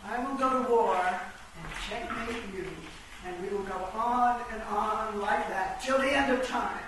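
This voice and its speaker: Radio play, chess queen: actress as a proud, grieving royal piece, emphatic and slow-paced, recorded far off in a hall. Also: fairly high pitch, close to an everyday voice. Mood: mournful. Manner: theatrical, emphatic. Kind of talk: stage radio-play acting. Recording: echoey hall, distant mic, background noise.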